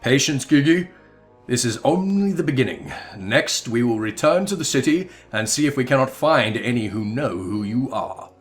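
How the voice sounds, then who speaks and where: A man performs a pompous gentleman inspector from a video game, excitable and kindly. He declaims everything in grandiose, theatrical fashion over background music. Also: medium pitch, normal speed, close to an everyday voice. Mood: grandly encouraging. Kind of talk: melodramatic voicing of video game dialogue. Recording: music behind.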